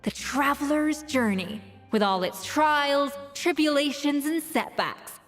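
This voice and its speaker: An actress playing an exacting, refined chef who judges dishes in a cutting, dryly sarcastic way. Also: female voice, high voice, takes it slowly, long reverb tail, faint music behind. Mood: critical. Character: exacting refined female chef, sharp-tongued critic.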